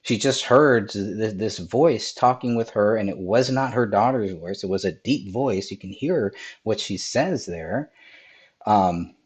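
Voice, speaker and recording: A man hosting a livestream, talking casually over video clips, a little raspy and hoarse from a cold, rambling with frequent restarts. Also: on the low side, a little slow. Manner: rambling, with many restarts and fillers. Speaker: host of a livestream.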